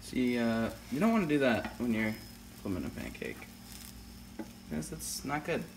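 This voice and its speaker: A man casually walking through making food in a home kitchen, relaxed and correcting himself as he goes. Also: medium pitch, measured pace, noisy background. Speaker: home cook. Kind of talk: casual cooking demonstration. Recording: clear music behind the voice. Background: music.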